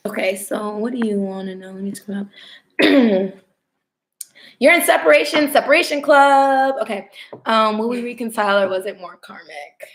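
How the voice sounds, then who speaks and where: A young woman hosting a live stream, chatting casually and reading tarot cards, her voice going hoarse. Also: fairly high pitch, expressive, moderate pace. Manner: chatty, laughs often, swears casually.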